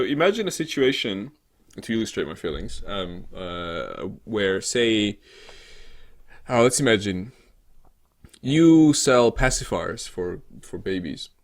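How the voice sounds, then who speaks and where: A man co-hosting a podcast in casual discussion, speaking in a hesitant, halting way as he builds hypothetical scenarios. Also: lowish voice, sing-song. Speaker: podcast co-host.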